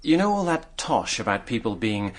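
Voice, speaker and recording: An actor playing a hard-up, self-mocking drinker under questioning in a British radio drama, wry and hesitant. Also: male voice, fairly low pitch, big pitch swings, normal speed.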